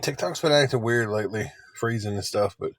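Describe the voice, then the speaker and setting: A man with a raspy voice, chatting casually with viewers on a live stream, first from a parked car and then while walking outside. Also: fairly low pitch, brisk, a bit bright. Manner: rambling and folksy, talking to viewers.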